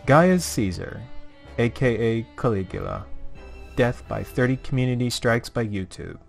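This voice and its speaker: A man's voice: medium pitch, expressive, a little slow, gravelly, soft background music. A man giving dramatic scripted story narration in the theatrical manner of a showman.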